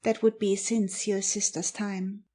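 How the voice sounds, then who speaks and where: A woman playing a self-possessed young girl who tells an eerie tale in a soft, confiding way for an audiobook. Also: medium pitch, level tone, measured pace, lightly acted. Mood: composed, confiding. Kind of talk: dramatic audiobook reading.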